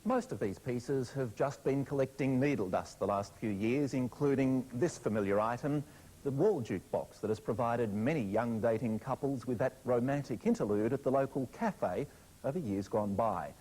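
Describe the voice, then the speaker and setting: A man's voice: medium pitch, moderate pace, warm tone, breathy edge, rough recording. A man delivering a scripted news report.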